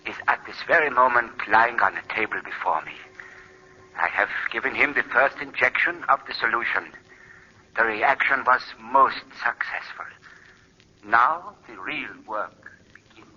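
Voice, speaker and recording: Grave, sharp-voiced old-radio scientist. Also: male voice, medium pitch, lively intonation, husky, full character voice. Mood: solemn satisfaction. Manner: solemn, measured, portentous. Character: grave, regretful scientist doctor reading his notebook entries. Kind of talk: 1940s radio drama acting. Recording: old radio recording, telephone-like band.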